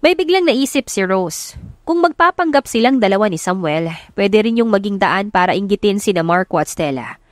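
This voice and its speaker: A woman's voice: mid-range voice, animated, moderate pace, no room sound, studio quality. A woman reading a scripted romance story as a dramatic audiobook narrator.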